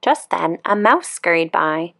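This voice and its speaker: A woman reading a children's picture book aloud as a calm, expressive storyteller. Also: fairly low pitch, measured pace, creaky.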